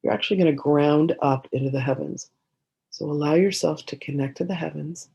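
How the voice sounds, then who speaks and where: Woman meditation guide, dark mellow tone, husky breath, gentle pauses, soothing instruction, dull lo-fi sound. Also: deep voice, steady tone. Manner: gentle, unhurried phrasing with many pauses.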